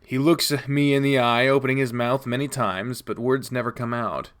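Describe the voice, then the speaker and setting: A man reading a visual novel game aloud, casual, jumping to high squeaks when surprised. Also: steady tone, normal speed, a bit bright. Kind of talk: reading game text aloud with jokes aside.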